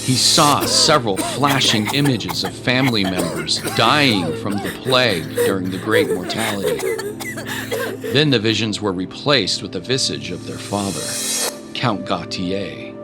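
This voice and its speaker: Deep-voiced man narrating a fantasy tale. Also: expressive, unhurried, over loud music. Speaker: storyteller, narrator. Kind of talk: scripted dramatic narration of a fantasy adventure.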